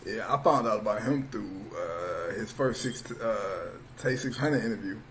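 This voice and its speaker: A man hosting an interview, asking loose, rambling questions in relaxed slang. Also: on the low side, unhurried, noisy background. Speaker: interviewer, host.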